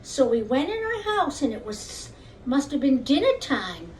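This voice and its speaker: A woman's voice: sits high, big pitch swings, a little slow, a little mellow. A woman teacher telling a children's puppet story, speaking directly to young listeners in simple phrases. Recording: distant mic with faint background noise.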